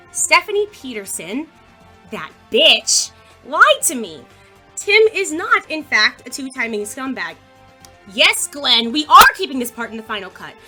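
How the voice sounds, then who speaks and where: Woman playing a high, bright, brash teen news anchor. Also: big pitch swings, unhurried, breathy edge, faint music behind, heavily acted. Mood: indignant, bossy. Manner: peppy broadcast delivery breaking into bossy outbursts. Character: brash, gossipy teenage girl school news anchor. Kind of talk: audio drama acting as school newscaster.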